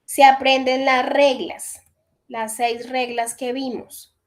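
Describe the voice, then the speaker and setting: High-voiced woman teaching English. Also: little pitch movement, slow. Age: 30s. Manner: explains step by step, checks in with repeated "listo". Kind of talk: online class instruction. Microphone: computer mic on a video call.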